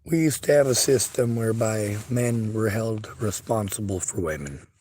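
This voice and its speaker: A man's voice: fairly low pitch, normal speed, a little mellow, a little hiss or hum. A man livestreaming, reading viewer chat and speaking bluntly and earnestly in a casual monologue. He has a stutter and a speech impediment.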